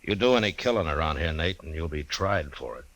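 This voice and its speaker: Very deep-voiced, stern frontier marshal. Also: male voice, expressive, a bit bright, dry recording. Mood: warning. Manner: measured, firm, terse. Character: stern, steady frontier marshal who narrates his tale. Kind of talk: 1950s western radio drama acting and narration.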